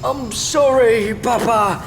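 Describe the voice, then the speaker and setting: An actor playing a meek, deformed, childlike son and obedient killer in an audio drama, halting and submissive. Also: male voice, very high-pitched, heavily acted. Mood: sheepish. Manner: halting, submissive, slow short answers. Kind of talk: full-cast audio drama acting. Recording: long reverb, faint noise.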